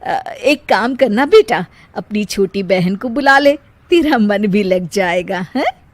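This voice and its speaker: A woman's voice: medium pitch, lively intonation, takes it slowly, dry recording. A woman voicing a stern middle-aged mother-in-law who scolds and threatens in a cartoon story. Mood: coaxing.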